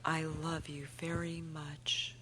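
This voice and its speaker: Woman playing a slow, whispering ghostly mother. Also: deep voice, little pitch movement. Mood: tender, soothing. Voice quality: breathy, whispery. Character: dead mother's spirit, gentle, loving, consoling her son. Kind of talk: old-time radio drama acting.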